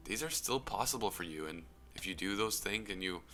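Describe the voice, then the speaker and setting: A young man with a very low voice speaks earnestly and reflectively, his phrases often trailing into soft, breathy whispers. Clear background music plays beneath him throughout. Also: expressive, fairly quick. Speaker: YouTube commentator. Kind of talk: earnest personal commentary. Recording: music playing under the voice.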